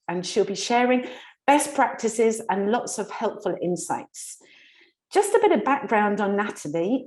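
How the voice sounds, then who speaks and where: A woman's voice: mid-range voice, darkish tone. A woman hosts a webinar over a video call. She sounds friendly and chatty, with an everyday mid-range voice. She often restarts her phrases as she introduces the guest and the house rules. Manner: friendly, chatty, repeats herself in small restarts. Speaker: webinar host.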